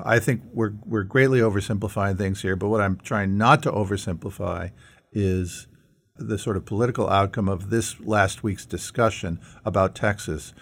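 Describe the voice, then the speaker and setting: Middle aged american guy, lowish gravelly voice, podcast host talking things through earnestly. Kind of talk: conversational discussion.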